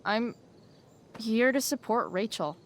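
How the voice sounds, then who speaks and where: A woman's voice: fairly high pitch, lively intonation, takes it slowly, distant mic, a little hiss or hum. A woman acting a sardonic, rebellious American teenage girl in a video game, with a dry, slangy delivery. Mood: guarded. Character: sardonic rebellious teenage girl, a punkish high-school dropout.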